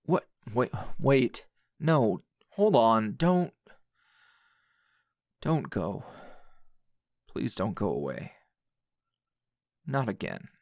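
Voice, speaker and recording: A man playing a grouchy, wounded anime hero in a dramatic ASMR roleplay, sardonic and halting in manner. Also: lowish voice, no echo, studio quality, exaggerated voice. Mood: pleading, regretful. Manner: sardonic, halting, emotionally raw.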